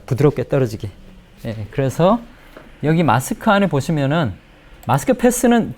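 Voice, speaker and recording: A man, giving a step-by-step software tutorial and repeating phrases as he explains. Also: on the high side. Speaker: video editing instructor. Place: indoor lecture room in front of a large screen. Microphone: lapel mic.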